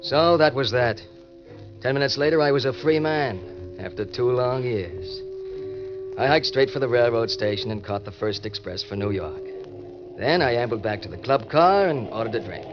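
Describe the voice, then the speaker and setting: An American actor playing a hard-boiled, cocky ex-convict obsessed with money in an old-time radio crime drama, talking in tough slang. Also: male voice, mid-range voice, lively intonation, normal speed, lightly acted. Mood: smug, relaxed. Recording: dull vintage radio recording with music.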